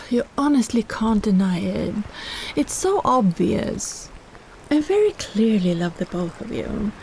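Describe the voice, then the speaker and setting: Woman voicing a slow, sultry, possessive kraken. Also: medium pitch, gravelly, mellow, slight background noise, rough recording. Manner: sultry, playful, unhurried teasing with sighs. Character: possessive, flirtatious, teasing female kraken lover. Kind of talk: scripted romantic roleplay audio.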